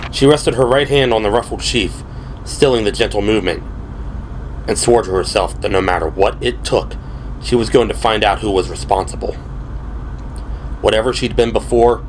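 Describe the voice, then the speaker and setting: A man reading scripted dramatic narration as an audiobook narrator, in a measured, descriptive storytelling manner. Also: lowish voice, slight background noise, low-quality recording. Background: prominent music.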